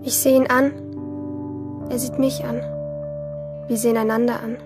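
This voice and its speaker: A woman's voice: fairly high pitch, little pitch movement, moderate pace, close to an everyday voice. An actress playing an anguished teenage girl in a radio play, narrating her inner thoughts in short, intense phrases. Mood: wistful. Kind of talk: radio play interior monologue. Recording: music behind the voice.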